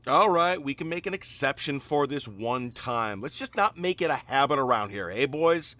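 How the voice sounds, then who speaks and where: A man's voice: mid-range voice, expressive, moderate pace, bright, forceful tone. A man acting a brash, cocky, wisecracking muscle man of a ghost-hunting team in an audio drama. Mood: cocky, joking. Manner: cocky, joking, punchy.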